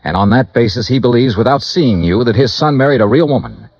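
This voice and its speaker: An American actor playing a weary, clipped insurance investigator in a 1950s radio noir drama, narrating his own case in a deadpan way. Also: mid-range voice, a little fast, no echo. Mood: bitter reproach. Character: hard-boiled, weary male insurance investigator. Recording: dull vintage radio recording, music behind.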